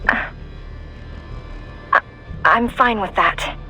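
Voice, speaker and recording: An actress playing a young, loyal, idealistic mission support operator in a video game, hesitant yet heartfelt. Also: female voice, mid-range voice, extremely slow, sharp tone, soft background music, full character voice.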